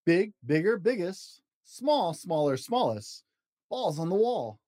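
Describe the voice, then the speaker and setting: A man hosting a storytime show and reading a children's book aloud expressively, in deliberate short phrases with an encouraging manner. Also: high-pitched, big pitch swings, mellow, dry recording, clean studio sound. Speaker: podcast host reading picture books aloud.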